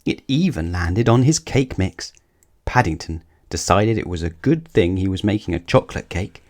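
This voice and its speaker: A man's voice: deep voice, animated, normal speed, darkish tone. A man reading a scripted children's story aloud as the narrator.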